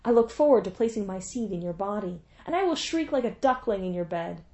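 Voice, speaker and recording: A woman voicing a grieving, raging young warrior king in a storybook reading, forceful and pleading. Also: mid-range voice, expressive, brisk, natural voice.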